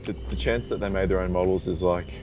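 A man's voice: deep voice, steady tone, fast talker. A young man playing a horror game with friends, teasing and wry, commenting on the graphics. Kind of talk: casual chat over game. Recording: game music behind.

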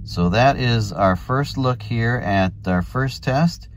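A man's voice: mid-range voice, slow. A middle-aged man with an American accent, casually explaining his hobby in a plain-spoken, folksy way as a vlog host. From United States. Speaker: ham radio hobbyist and video host. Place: outdoors in an open field, partly inside a parked car.

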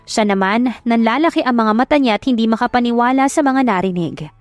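A woman's voice: on the high side, expressive, a little fast, dry recording, faint music behind. A Filipino woman reading a love story as a dramatic audiobook narrator.

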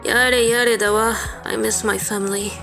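A woman playing an earnest, expressive young girl in an amateur anime comic dub. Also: fairly high pitch, moderate pace, gravelly, faint music behind. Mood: homesick.

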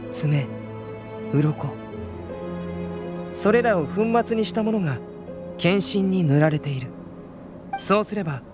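A young, composed alchemist from a fantasy video game. The voice is high for a male and the delivery measured, scholarly and unflustered, with music playing clearly behind it.

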